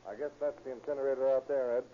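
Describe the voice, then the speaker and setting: An American man playing a brisk, insistent police detective in a 1930s radio crime drama, clipped and businesslike. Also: medium pitch, steady tone, lightly acted. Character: brisk, persistent male police detective lieutenant. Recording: scratchy, muffled 1930s radio recording.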